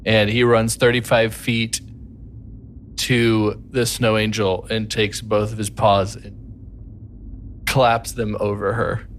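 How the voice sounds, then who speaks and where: A man's voice: lowish voice, flat delivery, slow pace, pauses often, a little hiss or hum. A man playing a tabletop game, describing moves and chatting casually.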